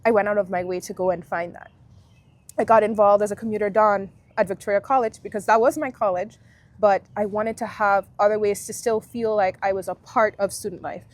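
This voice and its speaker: A woman in her twenties from Guyana, a university alumna, talking through her experiences in a relaxed, reflective way as an interview guest. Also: medium pitch, a little fast. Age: 20s. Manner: reflective, friendly, thinks aloud with fillers. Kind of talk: casual interview chat.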